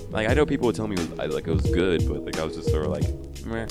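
A young man with a very deep voice, hosting a podcast and chatting loosely, full of fillers and easy laughs. His voice swings widely in pitch and sounds a little worn. Music plays clearly behind him. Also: expressive, very fast. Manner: laid-back, rambling, lots of fillers and laughs. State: voice still worn after a trip. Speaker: podcast host. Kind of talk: casual chat.

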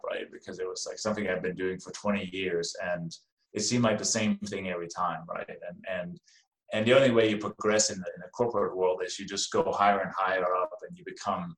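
Echoey middle-aged man with a Danish accent. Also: on the low side. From Denmark. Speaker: podcast guest, real estate investor. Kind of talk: casual interview talk. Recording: roomy, echoing sound.

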